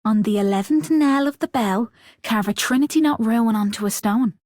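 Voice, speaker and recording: A woman's voice: on the high side, moderate pace, darkish tone, close to an everyday voice. A woman voicing an earnest, encouraging game character who shares old folk wisdom like a storyteller. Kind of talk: scripted game character greeting.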